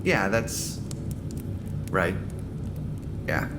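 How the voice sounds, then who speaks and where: A man acting a guilt-ridden research staffer in an audio drama, halting and troubled in a naturalistic style. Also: low-pitched, animated, noisy background, exaggerated voice. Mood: guilty, troubled.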